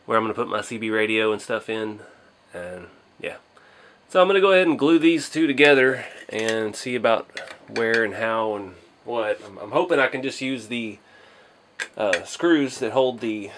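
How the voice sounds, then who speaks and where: A man's voice: medium pitch, a bit bright. A man hosting a hobby build video, talking casually and thinking aloud as he works with his hands.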